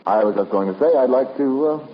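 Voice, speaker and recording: An actor playing a brash, skeptical private investigator in a 1950s radio drama, informal and wisecracking. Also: male voice, medium pitch, lively intonation, fairly quick, close to an everyday voice. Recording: muffled, echoey, noisy old radio recording.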